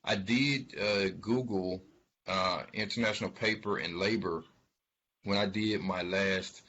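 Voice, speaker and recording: A man giving informal financial commentary, speaking haltingly and emphatically, repeating words for stress. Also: lowish voice, fairly even intonation, a bit bright. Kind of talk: informal spoken commentary on an earnings call. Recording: dull, lo-fi sound.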